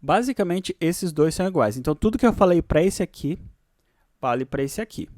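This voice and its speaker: A man with a Brazilian accent presenting a software tutorial, switching between read-out explanations and casual asides. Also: on the high side, animated, a little fast, dry recording, studio quality.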